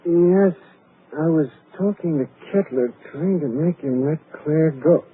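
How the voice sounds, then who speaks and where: A man's voice: a little high, a little slow, natural voice. An actor playing a desperate young man in a 1940s radio drama, urgent and intense. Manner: urgent, later eerie hushed menace. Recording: tinny old radio broadcast with hiss.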